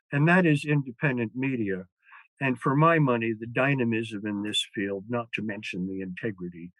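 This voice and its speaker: An older man, a former foreign correspondent, speaking as a guest in an interview, with many pauses, fillers and restarts. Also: lowish voice, fairly bright tone, dry recording, low-quality recording. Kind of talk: interview conversation.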